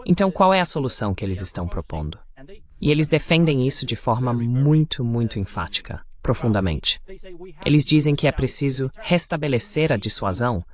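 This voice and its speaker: A man's voice: mid-range voice, very animated, fairly quick. A man delivering political commentary as the translated voice of an interview guest. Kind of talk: Portuguese voice-over of political commentary.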